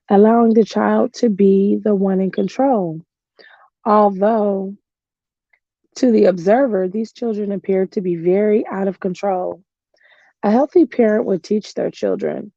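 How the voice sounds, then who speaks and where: A middle-aged woman reading a self-help book aloud in a slow, earnest way, tired and with her voice going hoarse. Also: mid-range voice, warm tone, no room sound. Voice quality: gravelly. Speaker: book reader. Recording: dull lo-fi home recording.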